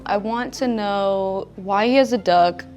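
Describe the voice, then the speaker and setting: A young woman chatting casually as a dating contestant, blunt and expressive in the way she talks. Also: a little slow, faint music behind. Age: young adult. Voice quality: deep voice for a woman. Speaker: blind date contestant. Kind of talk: casual chat.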